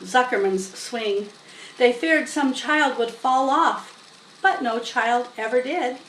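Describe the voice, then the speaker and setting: A woman reading a children's story aloud in an expressive, unhurried way with dramatic emphasis. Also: a little high. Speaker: storybook reader. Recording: roomy, distant mic with faint noise.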